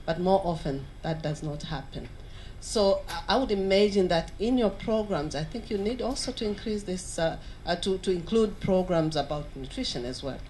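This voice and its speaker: A woman's voice: on the low side, lively intonation, darkish tone, a little hiss or hum, poor audio. A woman from Tanzania in a conference audience, asking an earnest question with some hesitation. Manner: earnest, halting with fillers. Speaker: audience member. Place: large conference hall. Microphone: handheld mic.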